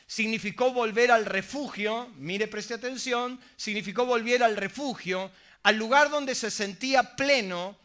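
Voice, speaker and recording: A man's voice: very high-pitched, pushed and bright. A middle-aged man preaching a sermon, emphatic, often asking the congregation rhetorical questions. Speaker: pastor.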